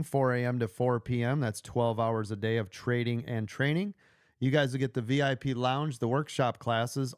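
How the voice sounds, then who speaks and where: A middle-pitched man in his fifties, going hoarse on a livestream yet still sounding smooth and clear, recorded dry and close with no room around him. He pitches memberships in a chatty, upbeat way, calling his viewers "you guys.". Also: no room sound. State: losing his voice. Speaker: livestream host and trading coach. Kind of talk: casual livestream sales pitch.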